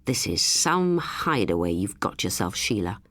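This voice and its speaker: A restless, suspicious biker, played by a woman narrator in a suspense audiobook. The man's voice is pitched down low and comes out scornful and snapping, in a dry recording. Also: sing-song, measured pace, fairly bright tone, no room sound, full character voice. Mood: accusing.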